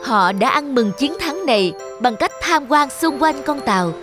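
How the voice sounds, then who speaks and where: A woman narrates a fairy tale for children in a fairly high voice, with light music behind her. The delivery is scripted storytelling. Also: animated, normal speed.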